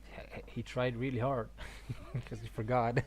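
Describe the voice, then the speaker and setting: A gaming streamer giving casual commentary on a story, thinking aloud haltingly and repeating words. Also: medium pitch, little pitch movement, darkish tone.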